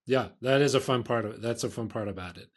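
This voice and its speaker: A man's voice: mid-range voice, fast talker. A man hosting a sports podcast, asking questions in an easygoing way and agreeing often. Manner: easygoing, laughs along, many short agreements. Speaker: podcast host. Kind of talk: casual interview.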